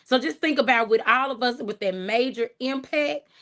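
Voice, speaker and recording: A woman hosting her first livestream, chatting casually and warmly, thanking viewers by name and laughing often. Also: on the high side, moderate pace. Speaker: livestream host. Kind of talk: casual livestream chat reading comments.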